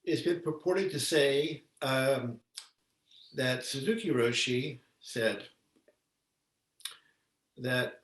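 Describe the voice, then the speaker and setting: A man giving a measured Zen dharma talk as a teacher, speaking haltingly and deliberately with long pauses. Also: mid-range voice, fairly bright tone. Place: indoor room, talk given over video call. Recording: roomy, echoey, distant microphone on video call.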